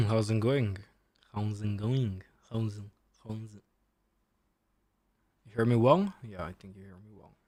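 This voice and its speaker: A man's voice: on the low side, a little slow, mellow, no room sound. A man in his twenties chatting casually and commentating as a chess streamer, often repeating short phrases. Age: 20s. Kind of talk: casual livestream chat and game commentary.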